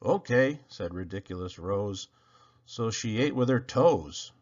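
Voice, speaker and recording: A man reading children's poems aloud expressively, with theatrical, playful emphasis and dramatic pauses. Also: fairly low pitch, a little mellow, dull audio. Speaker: storybook reader.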